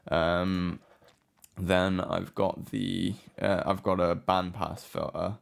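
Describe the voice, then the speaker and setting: A man giving a casual, unscripted software walkthrough as a tutorial presenter, low-key and hesitant in manner. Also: level tone, slow, vocal fry. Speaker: music production tutorial presenter.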